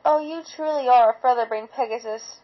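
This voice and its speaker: A woman's voice: on the high side, fairly quick, highs cut off, lightly acted. A woman voicing an emotional, unstable young pony in a storybook reading. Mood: mad, gloating. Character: frightened young pony turning gleefully mad killer.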